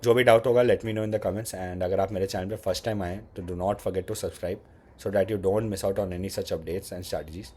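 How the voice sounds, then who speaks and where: A man's voice: deep voice, fairly even intonation, a little slow, warm tone, breathy edge. A man with a sore throat and an Indian English accent, giving casual, conversational exam advice and switching between Hindi and English. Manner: conversational, mixes Hindi with English phrases, repeats "ठीक है". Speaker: exam prep YouTuber. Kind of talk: casual exam strategy talk.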